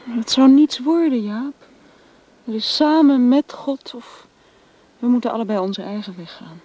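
An actress playing a young woman torn between love and faith, speaking softly and hesitantly. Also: a little high, unhurried, lo-fi sound. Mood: hushed. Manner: soft, hesitant.

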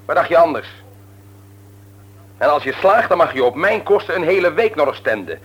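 A stern, patronising man who likes to lecture. His voice sits a little high for a man and has a husky grain, swinging widely as he drives each point home in classic radio-play manner. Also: big pitch swings, moderate pace, dull audio. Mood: scolding. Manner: lecturing, emphatic. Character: stern, patronising older brother and works supervisor, adult man. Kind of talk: radio drama acting.

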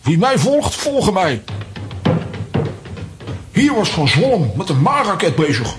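A high male comic voice swings wildly in pitch. He is a pompous, excitable bungler declaiming in a children's radio play, with strong echo around him. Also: slow pace, soft background music, heavily acted. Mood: excited, self-important. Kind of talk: children's audio drama acting.

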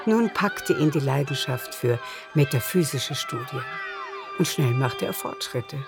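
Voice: medium pitch, animated, measured pace, gravelly. Radio play narrator over music. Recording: music behind the voice.

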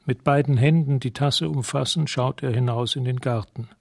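A man narrating a scripted radio drama in a measured, matter-of-fact way, describing scenes in the present tense. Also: mid-range voice, steady tone, vocal fry, a little mellow, no room sound, clean studio sound.

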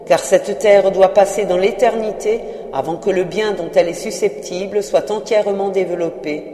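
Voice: mid-range voice, mellow, roomy sound, big-room sound, close to an everyday voice. A narrator voicing the ghost of an old male miser, chained and remorseful, declaiming anguished laments. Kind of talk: audiobook character reading.

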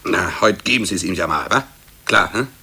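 Low, creaky, needling office colleague. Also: male voice, expressive, fairly quick, pushed and bright, full character voice. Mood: conspiratorial. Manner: colloquial, insinuating, hedging with question tags. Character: needling, slippery male office colleague, egging on. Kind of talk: 1950s German radio drama acting. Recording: noisy old radio play cassette transfer.

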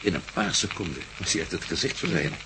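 An actor playing a tense, short-tempered, excitable man in a radio play. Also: low voice, fast, background noise, lightly acted. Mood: uneasy. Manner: irritable, excitable. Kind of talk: radio drama acting.

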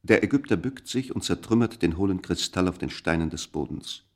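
Serious storyteller for a radio play, really low voice, measured scripted narration. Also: male voice, lively intonation. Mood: grave, tense. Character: grave, measured male storyteller of a mystery tale.

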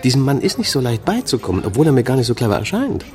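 An actor playing a sober police investigator in a radio crime drama, reporting in a matter-of-fact, official manner. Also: male voice, mid-range voice, big pitch swings, racing, darkish tone, lightly acted. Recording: music under the voice.

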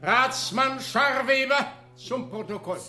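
High, swooping, declamatory councillor. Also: male voice, sing-song, slow pace, fairly bright tone, soft background music, heavily acted. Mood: commanding. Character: theatrical councillor reading a mock-solemn gallows oration aloud. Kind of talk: German radio drama acting.

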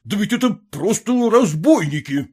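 A man voicing an irritable, pompous gentleman husband who blusters at peasants. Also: high voice, animated, moderate pace, husky. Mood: indignant. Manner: indignant, blustering.

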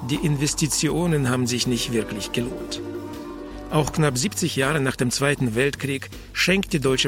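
An actor playing a wry Soviet ex-KGB agent turned private detective in a radio drama, dry, ironic and understated. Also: male voice, medium pitch, natural voice. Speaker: narrator and lead character. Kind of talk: radio drama acting with first-person narration.

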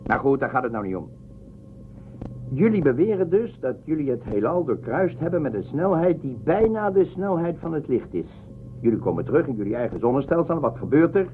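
An actor playing a gruff, bombastic, suspicious warlord and self-styled emperor in a science-fiction radio play. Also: male voice, fairly high pitch, sing-song, brisk, narrow, tinny audio, slight background noise. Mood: blustering. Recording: band-limited radio play audio, faint music behind.

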